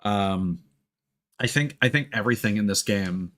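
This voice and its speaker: A man's voice: lowish voice, normal speed, fairly bright tone. A man livestreaming a video game, chatting casually and thinking aloud in a hesitant, rambling way. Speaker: game live streamer. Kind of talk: casual livestream chat while gaming. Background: game music.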